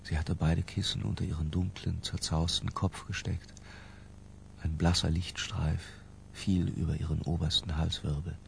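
An actor playing an obsessive, tense middle-aged man who narrates his confession in a deliberate, literary radio drama style. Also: low voice, flat delivery, vocal fry, slight background noise. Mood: hushed, obsessive.

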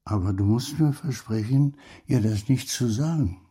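An actor playing a weary, melancholy old grandfather, gravelly and resigned, in a literary audio drama. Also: mid-range voice, moderate pace, warm tone, natural voice. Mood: melancholy, tender. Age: older.

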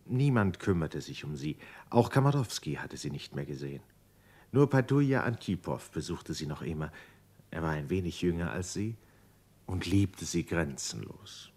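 Low, husky male storyteller. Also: animated, darkish tone. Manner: measured storytelling. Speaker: narrator. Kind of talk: scripted literary radio play narration.

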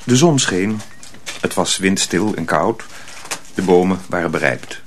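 A man's voice: sits low, lively intonation, a little slow, noisy background. A man narrating a radio drama from a script as a storyteller.

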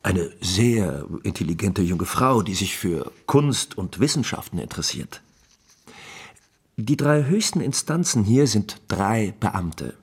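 An actor playing an affable, talkative captain of the imperial guard in a German radio drama, chatty, courteous and persuasive, with a husky voice. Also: male voice, lowish voice, big pitch swings, slow pace, exaggerated voice. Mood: explaining.

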